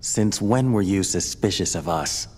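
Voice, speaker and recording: An actor playing a cold, scheming villain lord in a video game, haughty and formal. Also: male voice, deep voice, animated, a little mellow. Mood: cold, accusing. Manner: haughty, formal, measured menace. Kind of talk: video game cutscene acting. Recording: long reverb, music behind.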